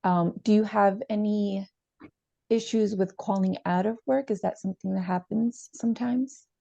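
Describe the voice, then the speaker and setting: Woman acting a mellow, gravelly-voiced therapist. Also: medium pitch, fairly even intonation, no room sound, highs cut off. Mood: calm, probing. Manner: measured, tentative, reflecting back. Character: calm, probing female therapist leading a session. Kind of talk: improvised therapy role-play.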